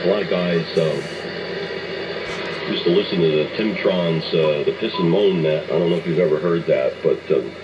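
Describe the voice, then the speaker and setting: Muffled AM radio signal, heavy static, very low male voice, American accent, middle-aged or older, rambling casual ham operator chat. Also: normal speed. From United States. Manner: rambling, hesitant, reminiscing. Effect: AM radio transmission. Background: radio static noise.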